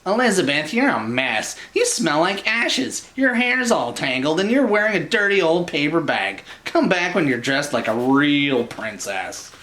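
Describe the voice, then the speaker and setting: A man's voice: on the high side, big pitch swings, a little slow, few pauses, sharp tone, pitched above the performer's own voice, full character voice. A man voicing a vain, snobbish young prince in a storybook, fussy and scolding. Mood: disdainful.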